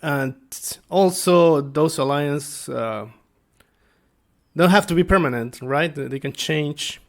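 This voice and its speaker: A man speaking as a tabletop game master, explaining things casually and without a script, halting as he thinks aloud. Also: a little high, vocal fry. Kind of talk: casual unscripted explanation to viewers.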